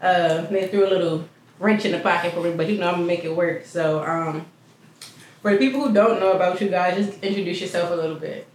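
A woman's voice: on the low side, fast talker, darkish tone. A woman hosting a casual podcast interview, telling stories loosely and laughing along. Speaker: podcast host. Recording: echoey room, distant mic, faint hiss.

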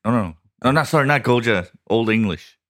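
A man's voice: medium pitch, expressive, a little fast. A man co-hosting a podcast, chatting casually and joking with friends. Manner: joking, teasing. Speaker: podcast co-host. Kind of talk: casual chat.

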